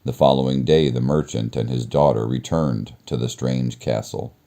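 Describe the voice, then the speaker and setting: A man reading a children's story aloud as narrator, in a measured, expressive storytelling manner. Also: a bass voice. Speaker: storybook reader.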